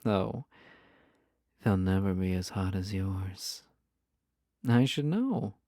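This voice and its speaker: Creaky, mellow, flirty yandere husband, acted by a man. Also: low-pitched, lively intonation, slow pace, no room sound, clean studio sound, exaggerated voice. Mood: flirty, playful. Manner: teasing, suggestive, with whispered asides. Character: flirty, playful, obsessive yandere spouse. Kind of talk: intimate audio roleplay addressed to listener.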